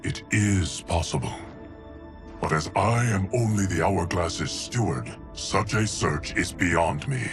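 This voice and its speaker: A calm steward of time with a very deep voice. He speaks formally and deliberately, a stoic fantasy game figure, with strong music behind him. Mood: solemn. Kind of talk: dramatic video game acting.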